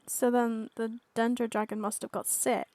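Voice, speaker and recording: A woman's voice: on the high side, little pitch movement, normal speed, a little mellow. A woman streamer giving casual commentary while playing through a video game.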